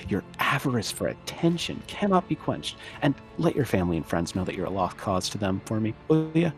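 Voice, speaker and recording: A man's voice: on the low side, sing-song, brisk, faint music behind. A man playing a pompous, scornful internet commenter in an overwrought comedic dramatic reading. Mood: disgusted, condescending. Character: pompous, outraged male internet commenter, disgusted and theatrical.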